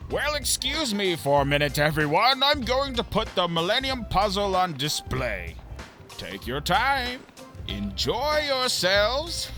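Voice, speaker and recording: Gushing, greedy museum owner, bright-voiced. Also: male voice, high-pitched, huge pitch swings, unhurried, soft background music, full character voice. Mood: oily, welcoming. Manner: effusive, wheedling. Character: gushing, greedy, self-centred male museum owner, scheming. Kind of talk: theatrical audio drama acting.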